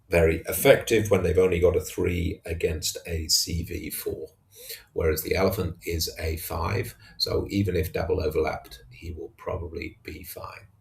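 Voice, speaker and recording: A man giving an unscripted recap of a tabletop wargame in a hesitant, halting way. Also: low-pitched, gravelly. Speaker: tabletop wargamer narrating own battle report. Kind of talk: unscripted match recap commentary.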